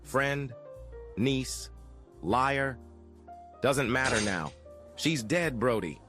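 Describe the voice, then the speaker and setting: Actor playing a swinging, sardonic noir private eye. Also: male voice, medium pitch, animated, drawn-out words, over music. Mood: accusing, cynical. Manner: clipped, sardonic, confrontational. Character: hard-boiled 1940s private eye, tough, cynical man. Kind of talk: 1940s noir radio drama acting.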